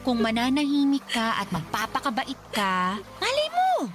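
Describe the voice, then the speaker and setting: Shrill, cruel Filipino mother, swooping pitch. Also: huge pitch swings, moderate pace. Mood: threatening. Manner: sharp, sarcastic, dismissive. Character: cruel, manipulative gambling mother, scolding her child. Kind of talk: Tagalog radio drama acting. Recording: music under the voice.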